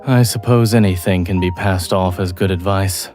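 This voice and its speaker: A man acting a composed male doctor in a romantic audio drama, with a lowish voice and soft, measured delivery. He is dryly witty and deadpan, yet quietly tender, and music plays clearly behind him. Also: lightly acted.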